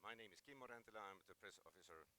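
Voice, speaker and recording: A man with a Finnish accent speaking as a press officer, formally opening a press conference in a halting, procedural way. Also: lowish voice, pushed and bright, a little hiss or hum. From Finland.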